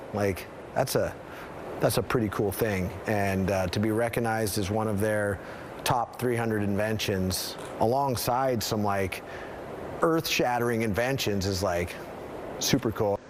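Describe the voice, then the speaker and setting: An informal male interviewee with a lowish voice. He talks casually, with clear ambient and vehicle noise around him. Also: a little slow. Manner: informal, repeats filler "like".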